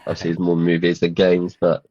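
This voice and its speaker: Deep laid-back podcast co-host, dry dull sound. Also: male voice, monotone, brisk, dark tone, no echo. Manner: laid-back, laughs easily, swears casually. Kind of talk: casual podcast chat.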